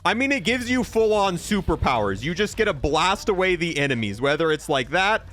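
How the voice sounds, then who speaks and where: Casting: male gaming video host, notably high voice, emphatic casual review delivery. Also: sing-song, brisk, a bit bright, faint music behind. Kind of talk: casual game review commentary.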